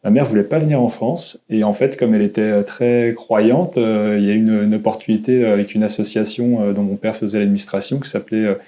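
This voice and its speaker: A man's voice: on the low side, fairly even intonation, fast talker, few pauses, warm tone. A man in his thirties, born in Canada, telling his life story as a podcast guest, hesitant and full of fillers. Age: 30s. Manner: hesitant, many fillers and restarts. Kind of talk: casual conversation. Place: old château room.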